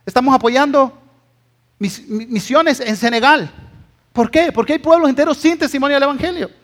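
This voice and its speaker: High-voiced Guatemalan pastor preaching a sermon. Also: male voice, normal speed. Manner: emphatic, exhortative, with rhetorical tag questions.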